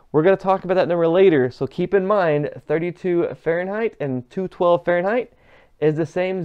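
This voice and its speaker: A man's voice: a little high, smooth, muffled recording. A man in his forties giving a conversational, emphatic explanatory lesson as an instructor. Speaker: HVAC instructor. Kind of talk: whiteboard lesson, explanatory teaching. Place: small indoor room with whiteboard.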